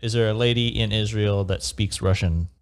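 A man with a fairly low voice co-hosts a casual conversation. He jokes around and drops in quick interjections and follow-up questions. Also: smooth. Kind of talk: casual chat.

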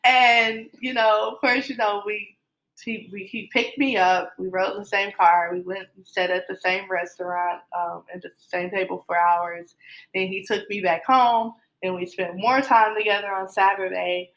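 A woman's voice: medium pitch, little pitch movement, moderate pace, fairly bright tone, low-quality recording. A middle-aged woman casually telling her love story, rambling with many fillers and restarts.

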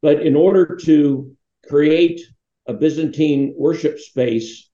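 An American man in his sixties with a very dark, mellow voice recounts memories as an interview guest. He rambles from one anecdote to the next, and the sound is echoey and a little dull. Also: fairly high pitch, slow pace. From Pittsburgh, United States. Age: 60s. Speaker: interview guest, foundation founder. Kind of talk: reminiscing in a conversational interview. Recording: dull, roomy, echoing sound.

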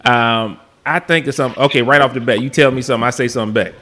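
Bright, quick-talking American man. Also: medium pitch. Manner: interjecting, reactive. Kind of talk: casual banter, chiming in.

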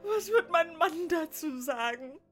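Weepy fairy tale princess, super high and shrill, slow, music behind. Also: male voice, animated, fairly bright tone, full character voice. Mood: tearful despair. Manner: wailing, tearful lamenting. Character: distraught, weeping young princess in a fairy tale. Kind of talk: fairy-tale audiobook character voice.

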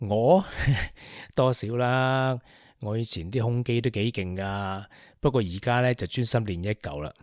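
A man's voice: mid-range voice, measured pace, dry recording, clean studio sound. A man with a Hong Kong Cantonese accent hosting a radio chat, joking and laughing easily. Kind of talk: casual chat.

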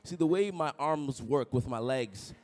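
A man's voice: mid-range voice, measured pace. A young man preaching a sermon, telling jokey stories and calling out to the congregation. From Toronto, Canada. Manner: animated, jokey, calls out to the congregation. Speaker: pastor. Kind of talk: sermon with personal storytelling.

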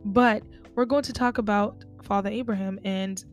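Woman host, casual and chatty, speaking to listeners over soft music. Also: mid-range voice, steady tone, normal speed. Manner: chatty, conversational, addresses listeners directly. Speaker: podcast host. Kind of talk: casual faith talk.

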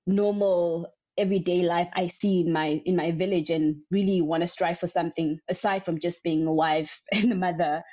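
A woman's voice: on the low side, fairly even intonation, normal speed, hardly any pauses, dark tone. A young woman from South Africa, a guest answering interview questions casually, rambling with many ums. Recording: dull lo-fi sound.

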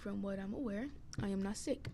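A woman's voice: mid-range voice, brisk, vocal fry, mellow. A young adult woman with a scratchy voice, chatting casually by herself in a hesitant, meandering way. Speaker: podcast host. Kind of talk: casual rambling solo chat. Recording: noticeable background noise.

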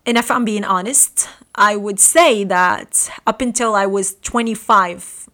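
Earnest young woman, bright voice. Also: mid-range voice. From Morocco. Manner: earnest, halting, with restarts. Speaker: podcast host. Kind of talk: personal storytelling podcast. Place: room at home.

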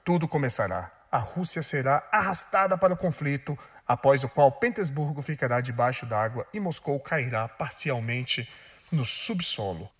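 A man's voice: on the high side, breathy edge, no echo. Jokey Brazilian man narrating, dry sound. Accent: Brazilian Portuguese. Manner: jokey, with slang asides and stumbles over names. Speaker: video host and narrator. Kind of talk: casual narrated commentary video.

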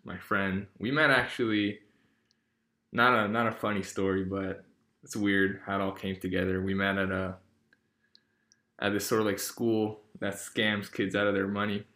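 A man's voice: lowish voice, little pitch movement, normal speed, bright, forceful tone, reverberant. A teenage boy hosting a casual podcast, talking in a rambling way with lots of fillers and restarts. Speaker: podcast host.

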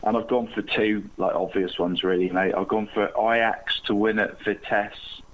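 A man's voice: fairly low pitch, fairly even intonation, normal speed, low-quality recording. A man co-hosting a casual football podcast, chatty and jokey, calling his co-host mate again and again and laughing often.

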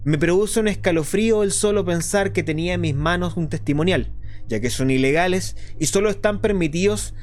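Man playing a higher-pitched clandestine radio host over music. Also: a little fast, lightly acted. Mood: somber, reflective. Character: clandestine radio host of a dystopian resistance show, earnest and explanatory. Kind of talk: scripted radio drama monologue. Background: music behind the voice.

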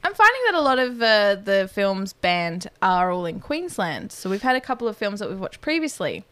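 A woman's voice: mid-range voice, very animated, normal speed, fairly bright tone, clean tone, no echo. A woman with an Australian accent co-hosting a horror movie podcast, chatting in a wry, easy way and laughing often. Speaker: podcast co-host. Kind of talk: casual banter.

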